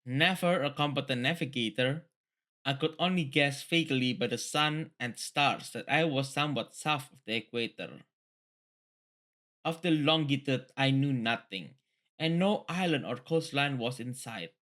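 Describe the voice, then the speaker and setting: Male, very bright forceful voice, read-along host, casual, repetitive. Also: mid-range voice, normal speed. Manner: rambling, repeats phrases. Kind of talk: book reading mixed with casual commentary.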